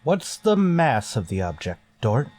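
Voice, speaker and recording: Give the part to a stern starship captain from a golden age sci-fi radio play. He is wary and commanding, with clipped delivery and a medium-pitched voice. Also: very animated, a little slow, darkish tone, soft background music. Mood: brisk command. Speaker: actor.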